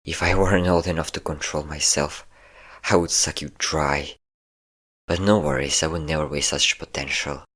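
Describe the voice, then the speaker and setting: A man's voice: very deep voice, steady tone, moderate pace, a bit bright. A man voicing a possessive, sadistic and flirtatious vampire captor in an intimate roleplay audio drama, teasing and commanding. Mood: menacing, hungry. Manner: teasing, commanding, theatrically smug.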